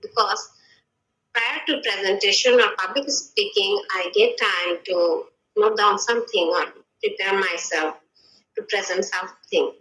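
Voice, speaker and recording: Bright-voiced Bangladeshi woman, slow. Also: mid-range voice. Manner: hesitant, many fillers. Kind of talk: unscripted conversation. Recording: echoey room.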